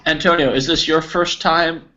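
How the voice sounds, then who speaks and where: A man's voice: a little high, fairly even intonation, normal speed, sharp tone. An American man teaching an online English class in an encouraging, informal way. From United States. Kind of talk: casual group class instruction. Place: online video call. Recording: dull, noisy video call audio.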